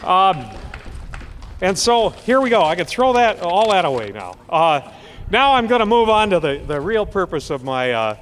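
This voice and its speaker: Fired-up guy making a speech at a rally, kinda high voice, long echo on it. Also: big pitch swings, fairly bright tone, slight background noise. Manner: impassioned, emphatic, slows down to stress key words. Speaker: rally speaker introducing the next speaker.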